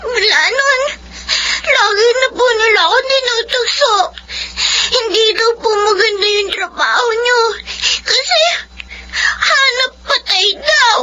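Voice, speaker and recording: A woman's voice: slow pace, highs cut off, slight background noise, exaggerated voice. A woman voicing a tearful young schoolgirl pleading with her mother, in a radio-style drama. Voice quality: crying, high and bright.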